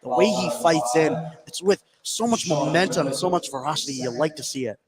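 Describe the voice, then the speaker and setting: A man's voice: fairly high pitch, fairly quick, crowd noise. A man working as a color commentator on a live basketball broadcast, giving opinionated analysis in a conversational way.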